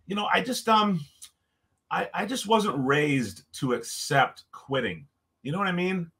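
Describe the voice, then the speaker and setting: A man from Ontario with a loud voice, telling stories as a podcast guest in an animated, emphatic way. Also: high voice, measured pace. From Canada, Ontario. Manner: animated storyteller, emphatic, frequent restarts. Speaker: podcast guest, former hockey player. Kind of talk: casual storytelling interview.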